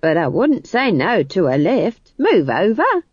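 A woman's voice: medium pitch, huge pitch swings, measured pace, husky, no room sound, muffled recording, full character voice. A woman voicing a cheerful, bossy old witch in a storybook, blunt, folksy and chatty. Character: brash, bossy, cheerful old witch posing as humble wood-gatherer.

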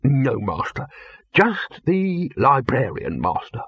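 A man's voice: mid-range voice, huge pitch swings, takes it slowly, husky, dry recording, highs cut off, heavily acted. A man reading an audiobook voices a nervous, fussy male college bursar who stammers and placates. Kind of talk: audiobook character voice.

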